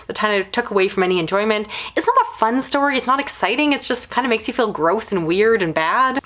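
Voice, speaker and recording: A woman reviewing books in a casual video, speaking candidly and giving measured, opinionated criticism. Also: medium pitch, fairly bright tone. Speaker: book reviewer, channel host. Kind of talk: casual book review to camera.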